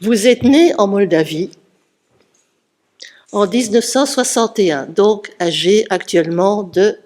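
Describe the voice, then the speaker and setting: A woman presents a poet to an audience, walking through his life story in measured, explanatory sentences. Her voice sits at a middle pitch, and her pace is unhurried. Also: darkish tone. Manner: measured, explanatory, reading out a biography. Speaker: presenter, interviewer. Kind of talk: literary presentation.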